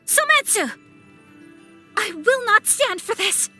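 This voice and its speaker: A woman's voice: very high-pitched, lively intonation, slow, soft background music, exaggerated voice. A woman voicing a refined, dutiful young noblewoman swordswoman from a video game, formal, poised and reserved in manner. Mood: battle cries. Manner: formal, poised, polite, softly earnest. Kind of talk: video game character voice lines.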